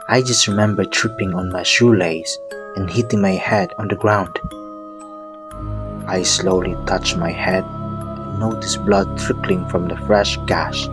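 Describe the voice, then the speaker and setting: A man acting as a traumatized survivor tells a grim first-person horror tale for an audio play. The voice is fairly low and the telling very slow, with music clearly behind it. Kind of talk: scripted audio drama horror narration.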